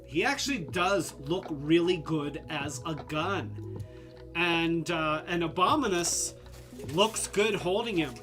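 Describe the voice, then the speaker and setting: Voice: medium pitch, fairly bright tone. A live-stream host reviewing toys in a casual, rambling way, congested and losing their voice, a bit tipsy from drinks. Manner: rambling, many fillers and restarts.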